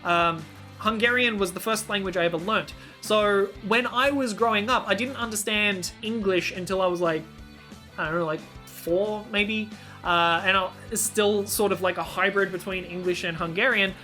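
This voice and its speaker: Young aussie woman streamer, crisp bright tone, rambly and chatty, some faint music behind. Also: mid-range voice, steady tone, normal speed. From Australia. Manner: rambling, self-interrupting, exclaiming in surprise. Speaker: streamer reacting to clips. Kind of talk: casual reaction commentary.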